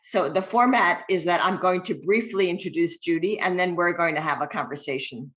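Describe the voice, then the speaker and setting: A woman hosting an author interview over a video call, reading a measured, prepared introduction. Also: on the low side, a bit bright, muffled recording. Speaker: interviewer and host.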